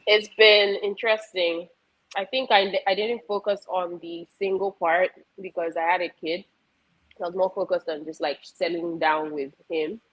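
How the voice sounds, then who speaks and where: A woman's voice: lowish voice, steady tone, moderate pace, poor audio. A woman from Nigeria talking candidly as a guest on a panel discussion. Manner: open, candid storytelling.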